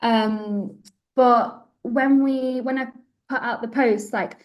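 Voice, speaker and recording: A woman's voice: a little high, steady tone, slow pace, poor audio. A woman in her twenties with a northern English accent, chatting casually and informally as a podcast guest. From Warrington, northwest England. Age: 20s. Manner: informal, peppered with "like". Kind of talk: casual chat. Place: home room, indoors. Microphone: device mic on a video call.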